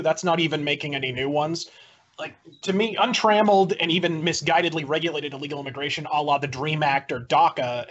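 A man making a wordy, florid and opinionated argument as a debater in a political debate. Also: fairly high pitch. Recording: dull lo-fi audio.

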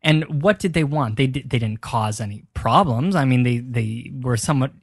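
A man's voice: mid-range voice, vocal fry, slightly rough, no room sound. Dry-voiced man spinning a spooky tale. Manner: builds tales with dramatic pauses. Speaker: podcast co-host. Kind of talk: storytelling history chat.

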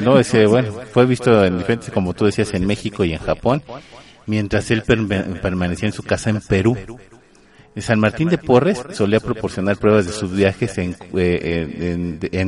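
Deep-voiced man co-hosting. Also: darkish tone. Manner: explains with questioning tags and repeated words. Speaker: podcast co-host. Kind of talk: casual chat about the paranormal.

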